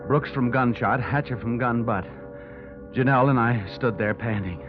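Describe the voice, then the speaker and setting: An American actor playing a tough, wisecracking, cynical insurance investigator in a vintage radio drama, with wry hard-boiled delivery. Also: male voice, medium pitch, normal speed, lightly acted. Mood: tense narration. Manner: wry, sardonic. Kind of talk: 1940s radio acting, hard-boiled narration. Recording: muffled vintage radio recording, music behind.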